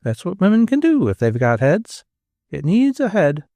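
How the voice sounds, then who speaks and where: Practical, wise mother, read by a man, calm and instructive. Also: sits high, moderate pace, no room sound, pitched above the performer's own voice, exaggerated voice.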